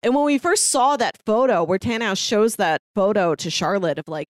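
A woman's voice: mid-range voice, moderate pace, no echo. A woman with a mid-range voice co-hosts a podcast in a very dry, close-sounding recording. She talks casually and conversationally, stressing her points as she explains them. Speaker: podcast co-host. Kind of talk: casual TV discussion chat.

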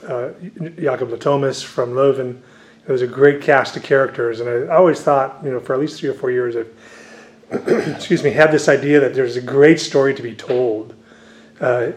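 Man, grew up speaking Dutch, scholar, author, interview answers, hesitant, frequent fillers, mid pitch. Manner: hesitant, many fillers and restarts.

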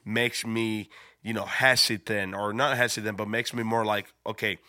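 A lower-pitched man talking, his voice bright and forward. He chats casually as a pastor co-host, halting and restarting his sentences. The recording is dry and close. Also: little pitch movement, no echo. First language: Spanish. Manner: halting, repeats words as he goes. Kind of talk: casual podcast chat.

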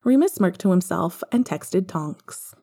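A woman's voice: fairly low pitch, animated, a little slow, gravelly, warm tone. A woman reading a story aloud as scripted narration, as a podfic reader.

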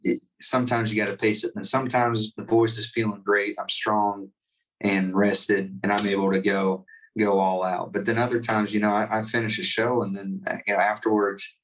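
A man's voice: on the low side, level tone, normal speed, a bit bright, far from the mic, poor audio. A man, a touring singer, talking casually in an interview with many fillers and restarts. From United States.

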